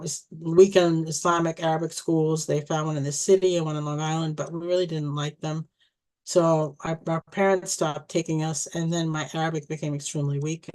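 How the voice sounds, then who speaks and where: A woman in her sixties, originally from Egypt, telling her life story in a casual, reminiscing way, pausing and restarting often and laughing softly now and then. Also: sits low, rough recording. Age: 60s. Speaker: family storyteller.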